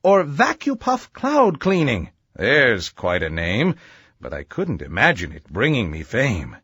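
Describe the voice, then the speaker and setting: Husky, highly animated male storyteller. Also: unhurried, no room sound, dull audio. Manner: theatrical, sing-song verse delivery. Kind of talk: rhyming children's story narration.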